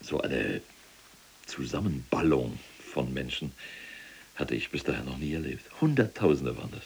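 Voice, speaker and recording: A man's voice: rumbling low, lively intonation, moderate pace, gravelly, a little hiss or hum, full character voice. A man playing an imprisoned, fanatical older SS general in a German audio drama, pompous and lecturing in manner. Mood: reminiscing. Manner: pompous, lecturing, indignant when contradicted. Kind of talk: German audio drama acting, monologue and argument.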